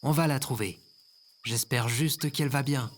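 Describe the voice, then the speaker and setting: An impulsive but remorseful everyman, played by a man in an audio drama. His medium-pitched voice is casual and colloquial, with clear noise behind it. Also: measured pace, natural voice. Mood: worried. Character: impulsive, remorseful ordinary guy, last human survivor. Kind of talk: scripted audio fiction acting.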